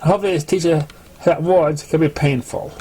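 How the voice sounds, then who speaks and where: A man's voice: on the high side, steady tone, fairly quick, warm tone, husky. A man voicing a firm pony guardian who admonishes other parents in a moralizing way. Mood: admonishing.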